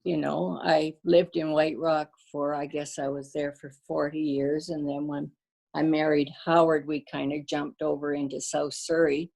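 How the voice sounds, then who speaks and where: An older woman, born to a Danish-speaking family, reminiscing about her community in a casual interview, pausing often with fillers and restarts. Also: deep voice, flat delivery, vocal fry. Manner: warm storyteller, halting with fillers and restarts. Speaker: guest.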